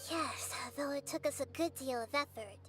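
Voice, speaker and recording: A woman voicing a small, girlish goddess in a video game, soft, composed and polite. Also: high voice, normal speed, lightly acted. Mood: calm. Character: gentle small girlish goddess, calm and wise. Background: game music.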